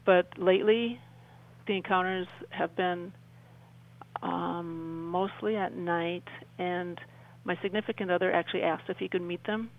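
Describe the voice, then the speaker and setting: An older woman, around her sixties, talking as an interview guest in a halting, rambling way and often rephrasing mid-sentence. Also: on the low side, fairly even intonation, poor audio. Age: older, about 60s. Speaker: interview guest, UFO experiencer. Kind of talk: conversational radio interview storytelling.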